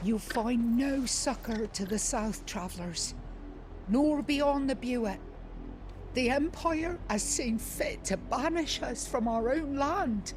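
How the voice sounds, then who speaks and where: A bitter exiled refugee in a fantasy game. Her voice is fairly high and she speaks slowly, as a lament, over music. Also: lively intonation. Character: bitter, banished refugee woman on the road. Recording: music behind the dialogue.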